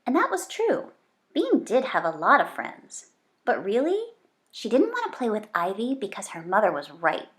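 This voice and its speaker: A woman's voice: a little high, wildly swooping pitch, normal speed. A woman hosting a read-aloud, narrating a storybook expressively and talking directly to young children.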